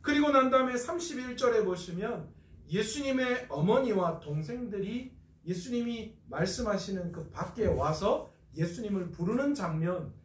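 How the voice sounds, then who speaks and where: A man's voice: high-pitched, reverberant, distant mic, a little hiss or hum. A man from North Gyeongsang, South Korea, preaching an expository Bible lecture as a pastor, asking questions and answering them himself.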